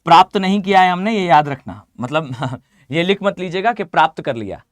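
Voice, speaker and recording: A hoarse man with an Indian accent teaching a live online class, often asking students to reply. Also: a little high, lively intonation, a little fast, dry recording. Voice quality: mixes Hindi with English phrases. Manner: addresses students directly, asks them to reply.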